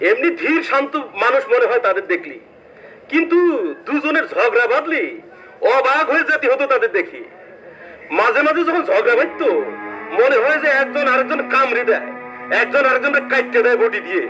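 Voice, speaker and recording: A man's voice: extremely high pitch, lively intonation, brisk, bright, forceful tone, faint music behind, full character voice, low-quality recording. A man playing a talkative village man in an acted story drama, emphatic and colloquial. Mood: gossiping, reflective. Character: talkative village man gossiping about two aunts. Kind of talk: acted short-story drama in rural Bengali.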